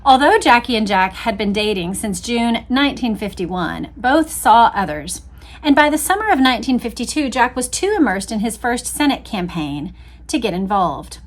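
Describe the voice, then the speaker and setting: A woman's voice: fairly high pitch, expressive, moderate pace. An American woman hosting a book readalong, reading history aloud and adding casual, conversational commentary. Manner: conversational, chatty asides.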